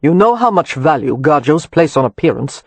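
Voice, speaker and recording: An exasperated man barking orders and scolding, his voice breathy, as a reader acts him out. The speech has lively pitch swings and is recorded dry and close. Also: moderate pace, dry recording, full character voice. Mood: firm. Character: commanding brother-in-law, exasperated.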